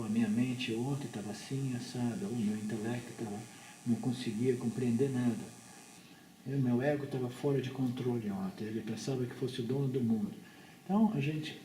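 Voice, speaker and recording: A man with a Brazilian Portuguese accent teaching spiritual philosophy informally to a small group, explaining in a halting way with repeated words. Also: mid-range voice, dark tone. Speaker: Vedanta teacher. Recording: echoey room with background noise.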